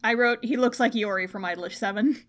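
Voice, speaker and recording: A woman co-hosting a podcast in casual chat, playful and quick to exclaim. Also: on the high side. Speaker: podcast co-host. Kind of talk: casual chat about idol anime.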